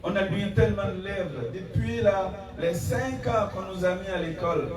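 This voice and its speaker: A man's voice: high voice, normal speed, a crowd in the background. A man, an imam, preaching a Friday sermon with rhetorical questions, mixing in recited Arabic verses. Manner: exhorting, rhetorical questions, rising emphasis. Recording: echoey hall from far off.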